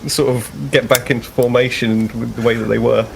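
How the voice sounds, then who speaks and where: A man's voice: medium pitch, fairly even intonation, a little fast, a crowd in the background. A man casually narrating his character as a player in a tabletop roleplaying game. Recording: clear background noise with clicks.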